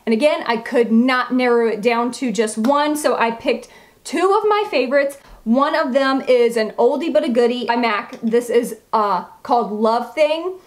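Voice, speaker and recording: A woman's voice: a little high, normal speed. A woman chatting casually as a beauty vlogger, gushing and emphatic, with a slightly froggy voice. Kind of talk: casual chatty product review to camera.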